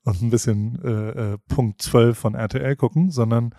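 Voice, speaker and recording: A man's voice: medium pitch, steady tone, moderate pace, gravelly, warm tone, no room sound, studio quality. A man in his thirties on a casual podcast, teasing and joking with his co-host and laughing often. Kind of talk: casual chat. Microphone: headphones on, recording remotely.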